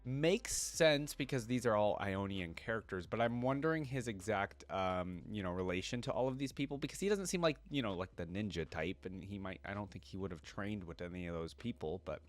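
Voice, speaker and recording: A man's voice: fairly low pitch, expressive, a little fast, few pauses. A man hosting a reaction video, commenting casually and reading text aloud as he goes. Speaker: host of a reaction channel.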